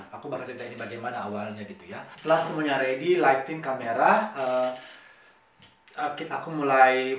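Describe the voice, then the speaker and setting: A man with an Indonesian accent telling a personal story casually to camera, halting and repeating his words, mixing in some English. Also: mid-range voice, fairly bright tone. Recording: very echoey room, distant mic.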